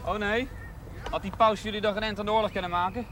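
A man's voice: sits high, steady tone, fast talker, natural voice. An actor playing a cheeky, scornful socialist working man with a blunt, mocking manner, in television drama style. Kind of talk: naturalistic 1970s television drama acting. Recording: noisy outdoor 1970s television soundtrack.

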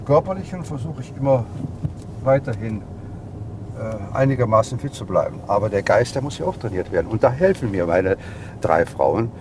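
A man in his seventies, a father being interviewed, talking in a halting, reflective, unscripted way. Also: fairly low pitch, very animated, noisy background. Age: 70s. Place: moving car.

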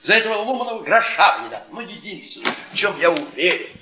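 Sardonic high-voiced middle-aged husband. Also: male voice, big pitch swings, fast talker, far from the mic, music in the background. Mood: bitter, declaiming. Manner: mocking, theatrical, baiting. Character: sardonic, needling history professor, embittered middle-aged husband. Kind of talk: theatrical radio play acting. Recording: echoey stage sound, audience noise.

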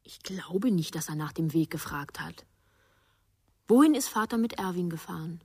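A woman playing a suspicious, defiant grown daughter in a radio crime drama, probing and quietly challenging. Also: lowish voice, lively intonation, normal speed, slightly rough. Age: young adult.

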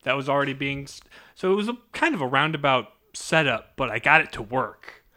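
A man in his twenties chats on a podcast, quick and joking. His voice is bright and forward, and it sits at an average pitch. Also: animated. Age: 20s. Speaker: podcast co-host. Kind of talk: casual chat.

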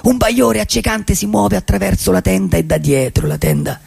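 A male actor playing a melancholy wandering drifter who tells his life in a poetic, theatrical radio monologue with a husky, gravelly voice. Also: high-pitched, very animated, a little mellow, heavily acted. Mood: wondrous, evocative. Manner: theatrical, lyrical, with pauses for effect.

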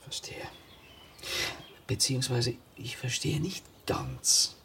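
An actor playing an eccentric, meditating art master in a radio drama, deliberate and slyly probing. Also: male voice, medium pitch, big pitch swings, creaky, far from the mic, background noise, full character voice. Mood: serene, puzzled.

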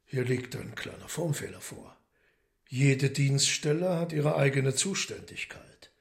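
An actor playing a commanding, coolly mocking devil in a German radio play, with measured, formal, ironic speech. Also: male voice, mid-range voice, lively intonation, creaky.

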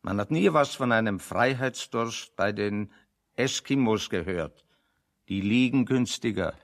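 An actor playing a dry, opinionated man in a German radio play, reasoning with deadpan, sardonic deliberation. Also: fairly low pitch, unhurried.

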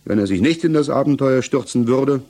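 An actor playing a troubled, defensive suspect under interrogation in a German radio play, justifying himself haltingly. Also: male voice, mid-range voice, dark tone, natural voice. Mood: troubled, explaining.

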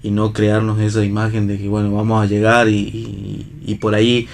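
A man with an Argentine accent talking casually as an interview guest, halting as he searches for words. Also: on the low side, monotone, vocal fry. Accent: Argentine Spanish. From La Rioja, Argentina. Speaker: theatre actor and director, interview guest.

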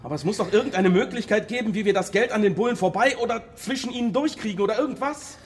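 An actor playing a blunt, restless bank robber in a radio crime drama, with a brisk colloquial delivery. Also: male voice, high-pitched, a little hiss or hum, natural voice. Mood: agitated, insistent. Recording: long reverb of a hall.

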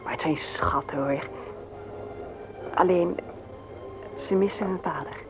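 A woman's voice: fairly low pitch, slow pace, whispered. An actress playing a flirtatious, forthright widowed mother in a radio play, with a teasing, arch manner. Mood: playful, forthright. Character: flirtatious, frank widowed mother of two on a first date. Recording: dull old radio recording with noise.

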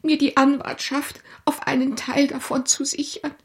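A naive young widow in a radio drama. Her voice is high and fragile, and she speaks meekly and plaintively. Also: little pitch movement, normal speed. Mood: plaintive, tearful. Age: young adult. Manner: meek, plaintive.

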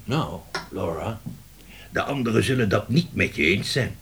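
An actor playing a blustering, persuasive male publishing director in a radio play, emphatic and given to dramatic pauses and repetition. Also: lowish voice, animated, vocal fry, fairly bright tone, slight background noise. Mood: cajoling. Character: blustering, cajoling male publishing director of old family firm.

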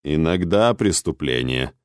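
A man's voice: lowish voice, expressive, moderate pace, darkish tone, no echo, studio quality, close to an everyday voice. A man voicing a stern, imperious male ruler in an audiobook, speaking in a cold, unruffled, commanding manner. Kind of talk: audiobook character acting.

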